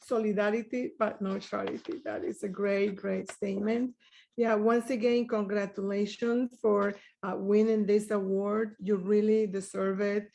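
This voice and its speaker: A woman presenting awards at a live ceremony, reading out prepared tributes to the winners in a measured way. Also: medium pitch, flat delivery, creaky, dark tone, a little hiss or hum, rough recording.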